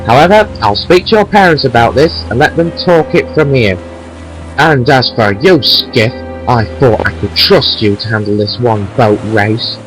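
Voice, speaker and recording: A man playing a stern but fair-minded railway controller, formal and measured, in an amateur audio drama. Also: mid-range voice, animated, fairly bright tone, faint music behind, close to an everyday voice, rough recording. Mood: disappointed.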